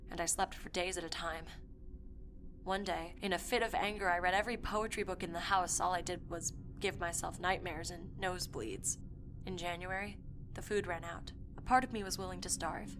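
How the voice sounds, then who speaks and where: Actress as haunted young woman, intense storytelling, obsessive, lowish voice, audio drama, music behind. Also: natural voice. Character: haunted, obsessive young woman recounting her dark past. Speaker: performer reading a dramatic monologue. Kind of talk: first-person audio fiction monologue. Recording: voice over prominent music.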